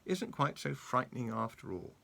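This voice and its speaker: Grandpa doing a gentle old tramp, soft and reassuring, kinda gravelly. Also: male voice, mid-range voice, very animated, moderate pace, heavily acted.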